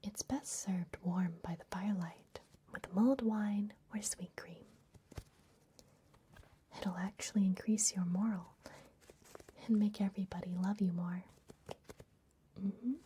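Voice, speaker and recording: A woman whispering gently and unhurriedly in a breathy voice, playing a kindly vampire librarian in an ASMR fantasy roleplay. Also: mid-range voice, steady tone, measured pace, pauses often, a little hiss or hum. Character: kindly vampire librarian hosting a human guest. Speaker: ASMR performer.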